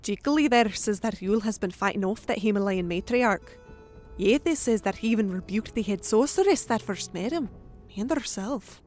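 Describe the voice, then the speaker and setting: A gossiping barmaid from a fantasy tavern, played by a woman in an audiobook. Her voice sits at a middle pitch, and she talks bluntly, with sass and teasing. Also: normal speed, a little mellow, faint music behind, natural voice. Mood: gossipy, skeptical. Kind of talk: audiobook character acting.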